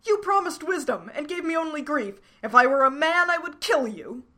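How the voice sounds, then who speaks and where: A woman voicing an angry young princess who shouts in rage. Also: high voice, lively intonation, normal speed, voice pushed up. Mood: furious.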